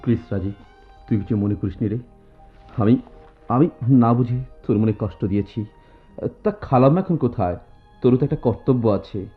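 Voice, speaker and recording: A man's voice: mid-range voice, moderate pace, long pauses, close to an everyday voice. A man playing a bossy, teasing young friend in a radio drama. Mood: concerned, apologetic. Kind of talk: Bengali radio drama acting. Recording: thin phone-like audio over music.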